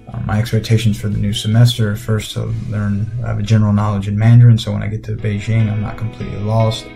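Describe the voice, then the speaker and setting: A young American man speaks in a flat, gravelly, mellow voice, relaxed and plain. Quiet guitar music plays under him. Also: lowish voice. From United States. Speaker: student. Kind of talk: self-introduction.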